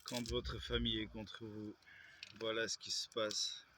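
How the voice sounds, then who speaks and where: A man's voice: lowish voice, level tone, measured pace, sharp tone, slight background noise. A man, vlogging in a rambling way, with hesitations and self-corrections. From Belgium. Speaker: YouTuber. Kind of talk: casual vlog monologue.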